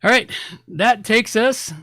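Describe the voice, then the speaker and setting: A man's voice: high voice, takes it slowly, fairly bright tone, no room sound. A man hosting a podcast, talking casually and informally in a rambling way as he gives announcements. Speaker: podcast host.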